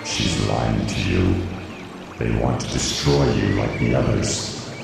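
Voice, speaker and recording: Sinister commanding entity, extremely low and drenched in echo, audio drama villain. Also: male voice, steady tone, slow, full character voice. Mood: menacing, commanding. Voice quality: deep, gravelly. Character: menacing disembodied male entity whispering commands in a boy's head. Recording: heavily echoing voice over music.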